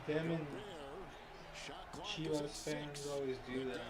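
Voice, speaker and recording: A man's voice: a little high, steady tone, slow pace. A young man in laid-back, slangy casual chat, filling his talk with "like" and "bro" while watching games with a friend. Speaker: co-host. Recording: loud crowd noise behind.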